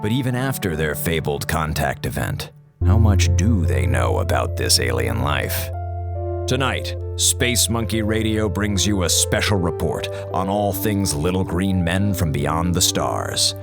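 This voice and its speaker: An actor playing an excitable, wisecracking space-station communications officer who acts as a pompous radio host in an audio drama. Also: male voice, low voice, measured pace, music in the background. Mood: grandly announcing. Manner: theatrical, pompous when announcing, flustered when talking. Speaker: co-host of an in-story radio show. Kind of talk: audio drama acting, mock broadcast narration.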